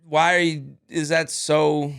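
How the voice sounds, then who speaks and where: A man in his thirties co-hosting a podcast in casual chat, animated, acting out quoted lines. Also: on the high side, slow pace, vocal fry. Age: 30s. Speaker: podcast co-host.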